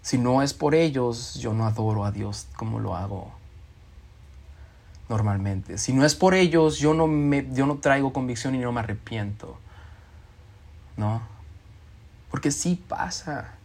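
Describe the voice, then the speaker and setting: A man's voice: medium pitch, unhurried, gravelly, pauses often, a little mellow. A man preaching a sermon, reading scripture and acting out quoted voices with expression.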